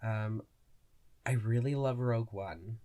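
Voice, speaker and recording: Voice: mid-range voice, slow, dark tone, muffled recording. A podcast co-host in casual conversation, talking in a hesitant, thoughtful and self-effacing way.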